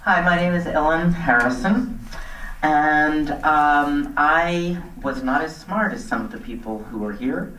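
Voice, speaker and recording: A woman's voice: low voice, unhurried, a bit bright, slight background noise. A middle-aged woman speaking earnestly and plainly as a resident at a public meeting, partly off the cuff. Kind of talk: public comment speech, semi-extemporaneous. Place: community center meeting hall. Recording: echoey hall, distant microphone.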